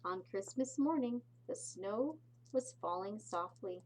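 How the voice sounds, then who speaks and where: A woman teacher reads a picture book aloud for young children, expressively and deliberately, adding little explanatory asides. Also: medium pitch, animated, a little mellow, a little hiss or hum, low-quality recording. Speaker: pre-K teacher reading aloud.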